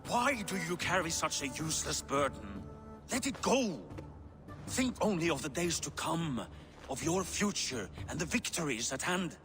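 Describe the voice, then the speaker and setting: A man's voice: a little high, sing-song, over music. A stern, weary Norse king, wide-swinging delivery. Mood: impassioned. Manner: formal, admonishing, measured. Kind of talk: dramatic video game acting.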